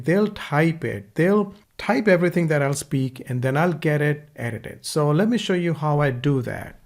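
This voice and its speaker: A man with an Indian accent walks viewers through a software tutorial in a relaxed, instructive way. His voice sits a little high and has a gravelly edge. He speaks close to a USB desktop mic. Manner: casual, instructive, dictates punctuation aloud. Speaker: online course instructor. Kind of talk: screen-recorded tutorial. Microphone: USB desktop microphone.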